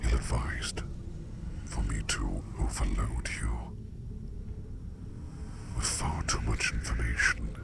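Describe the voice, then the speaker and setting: A man playing a curious, enigmatic sleep demon in a whispered ASMR roleplay, speaking in a hushed, deliberate and formal way with a breathy, gravelly voice. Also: very deep voice, heavily acted. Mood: fascinated, intimate. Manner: slow, deliberate, hushed, formal wording with repeated phrases. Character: curious, enigmatic male sleep demon who walks dreams.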